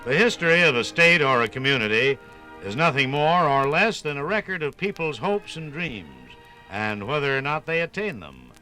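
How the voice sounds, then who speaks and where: Bright, animated male 1950s radio announcer. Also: on the high side, normal speed, faint music behind, low-quality recording. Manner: emphatic, polished announcer delivery. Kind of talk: 1950s scripted radio documentary narration. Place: radio studio.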